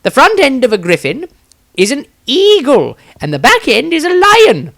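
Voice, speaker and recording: A man voicing a flamboyant, excitable male spellcaster in a storybook, with a theatrical, pompous delivery. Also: extremely high pitch, moderate pace, vocal fry, voice pushed up, heavily acted. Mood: grandly hopeful.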